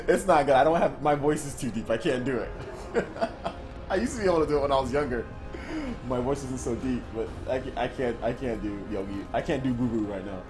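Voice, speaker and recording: A man's voice: medium pitch, expressive, normal speed, music in the background. A man hosting a live game stream, chatting casually and laughing often. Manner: laid-back, laughs often, talks to chat.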